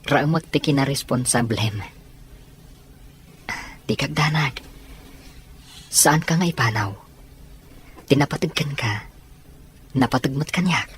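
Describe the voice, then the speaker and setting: A man playing a patient, devoted young suitor in an audio drama, speaking softly and earnestly. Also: mid-range voice, takes it slowly, pauses often, slightly rough, exaggerated voice. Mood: pleading, tender. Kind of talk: Ilocano audio drama acting.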